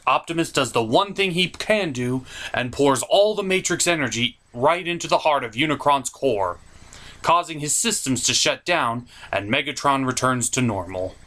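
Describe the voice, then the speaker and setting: A man's voice: medium pitch, animated, normal speed, bright, forceful tone. A man hosting a video review, giving enthusiastic, theatrical commentary with emphatic, stretched-out words.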